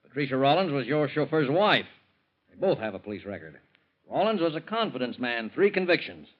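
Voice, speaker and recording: An American actor playing a businesslike police captain in a 1940s radio drama, brisk and colloquial. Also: male voice, mid-range voice, sing-song. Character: matter-of-fact, businesslike male police captain. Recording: muffled old radio broadcast.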